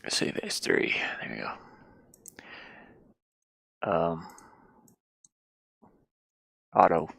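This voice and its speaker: A game developer chatting casually and haltingly while working at a computer, murmuring half to themselves. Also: low voice, sing-song, gravelly, a bit bright, husky, dry recording. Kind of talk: casual screen-share chat while working. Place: inside a van.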